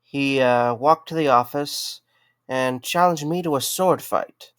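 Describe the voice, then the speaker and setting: A man's voice: mid-range voice, a little slow, fairly bright tone, clean tone, dry recording, studio quality, lightly acted. A man playing a sarcastic, easygoing young night guard in a conversational audio drama. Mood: amused storytelling. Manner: wry, conversational.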